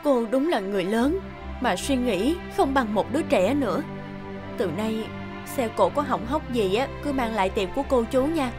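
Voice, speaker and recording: A woman playing a sharp-tongued but caring young mother who minds a repair shop, in an expressive animated cartoon dub. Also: on the high side, moderate pace, natural voice. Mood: apologetic. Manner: expressive, scolding or fussing. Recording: music behind the voice.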